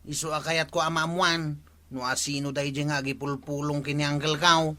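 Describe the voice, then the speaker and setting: An actor playing an indignant, blustering village watch chief in a comedic radio drama. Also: male voice, fairly high pitch, flat delivery, normal speed, pushed and bright. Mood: vengeful.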